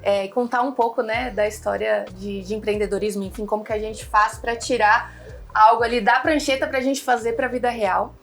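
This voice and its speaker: A woman's voice: on the high side, steady tone, a little fast, creaky, a bit bright, soft background music. A young Brazilian woman talking casually as an interview guest, chatty and rambling with many fillers. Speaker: guest, founder of an online English school.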